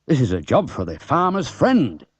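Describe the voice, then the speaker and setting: A man's voice: medium pitch, wildly swooping pitch, moderate pace, breathy edge, pitched above the performer's own voice. A man voicing a friendly, plain-spoken country farmer in a children's storybook reading. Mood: confident. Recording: dull, roomy old cassette tape.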